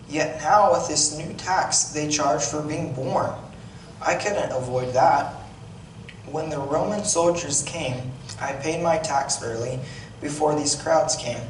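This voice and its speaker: A man's voice: a little high, lightly acted. A man reading aloud as a plain-spoken, wry, slightly grumbling village potter, in a conversational storytelling way with occasional stumbles. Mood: dryly proud. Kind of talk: dramatic monologue read aloud. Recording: echoey room, distant mic, noisy background.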